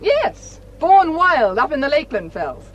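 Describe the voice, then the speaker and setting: An actress playing a brisk, forthright woman country doctor in a radio play. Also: high voice, sing-song. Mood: breezy, fond. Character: brisk country doctor, fond of her pony.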